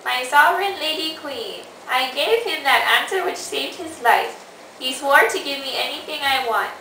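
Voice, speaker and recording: A woman's voice: high voice, lively intonation, normal speed, sharp tone. A young woman playing a stern, bossy lady in an amateur student puppet show. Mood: sly. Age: teenager. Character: queen and old hag wife, bossy, stern. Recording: echoey distant amateur recording with hiss.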